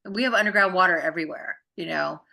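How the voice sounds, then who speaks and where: A woman with a notably low voice that still sounds bright and forward. She explains her ideas conversationally and earnestly, rambling informally and often checking in with the listener. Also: quick pace, gravelly. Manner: informal, earnest, rambling with frequent tag questions.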